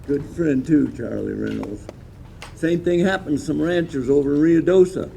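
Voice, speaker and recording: Male reenactor as a gravelly, folksy rancher husband. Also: fairly high pitch, moderate pace, mellow, a little hiss or hum. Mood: resolute. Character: worried rancher husband selling out. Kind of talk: outdoor Wild West reenactment.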